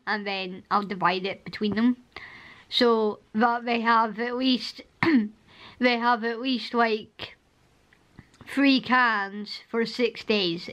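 A young Scottish woman with a strained, hoarse voice, chatting in a rambling home vlog and laughing often, filmed at arm's length in her living room. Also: a little high, fairly bright tone. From Scotland. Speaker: vlogger. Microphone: camera at arm's length.

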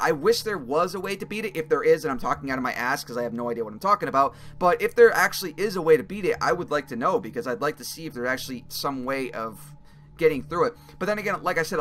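A young man with a slightly raspy voice giving casual, excitable commentary while playing a game, speaking into a headset mic. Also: medium pitch. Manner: excitable, swears and yells when he fails. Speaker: gaming video creator. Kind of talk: casual let's-play commentary.

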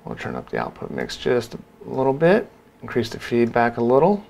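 Guy doing a laid-back step by step tutorial, normal pitch, noisy background. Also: huge pitch swings, fairly quick, creaky, darkish tone. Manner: casual, talking through each step. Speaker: sound design tutorial presenter. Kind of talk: informal software tutorial narration.

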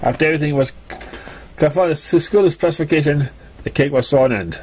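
A man reading a story aloud as an amateur narrator, with halting, stumbling delivery. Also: fairly high pitch, darkish tone, slight background noise. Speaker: amateur fan fiction reader.

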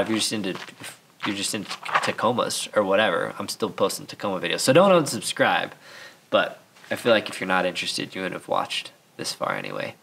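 A man's voice: low-pitched, gravelly, sharp tone. Stuffed-up man rambling on a build site. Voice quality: nasal, stuffed up. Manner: rambling, candid, frequent restarts. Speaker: channel host. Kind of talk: casual vlog talk to camera. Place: half-built house under construction, partly open.

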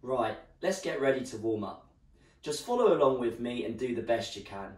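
A young man with a croaky voice, leading an online home workout as a fitness instructor and giving encouraging instructions while he moves. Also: medium pitch, very animated, normal speed. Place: living room at home. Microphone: far camera. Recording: roomy sound from far off.

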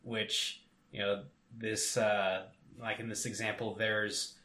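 A man's voice: on the low side, level tone, slow, pushed and bright, echoey room. A man co-hosting a casual tech podcast, rambling with many fillers. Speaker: podcast co-host.

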